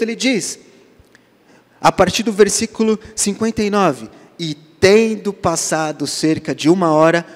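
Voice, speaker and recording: A male pastor with a Brazilian Portuguese accent preaching a sermon, dropping to hushed lines and then rising to loud emphasis as he acts out the dialogue. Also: a little high. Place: church sanctuary. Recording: church hall reverb.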